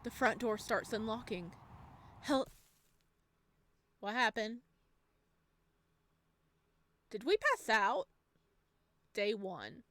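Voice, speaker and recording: A woman reading a video game's text aloud and chatting with expressive, excited reactions as she plays. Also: fairly high pitch, takes it slowly, long pauses, dry recording. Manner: chatty, expressive asides between read lines. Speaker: video game streamer, let's player. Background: game music.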